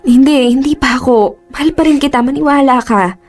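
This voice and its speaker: Tender, pleading young fiancée for Filipino radio drama; fairly high voice, emotional delivery over music. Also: female voice, a little fast. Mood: earnest. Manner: emotional, imploring. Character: earnest, pleading young bride-to-be, torn and tender.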